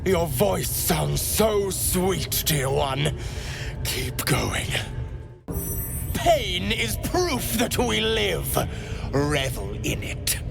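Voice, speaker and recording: Slow, creaky, devout sadistic priest. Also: male voice, on the high side, sing-song, music in the background, full character voice. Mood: silky, coaxing. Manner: breathy reverent crooning, theatrical, savouring words. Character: devout sadistic priest of a pain goddess, unctuous zealot. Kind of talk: dramatic game character acting.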